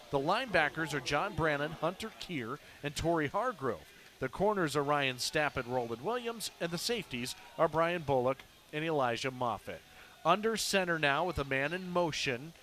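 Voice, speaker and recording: High-pitched American male football play-by-play announcer. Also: a bit bright, slight background noise.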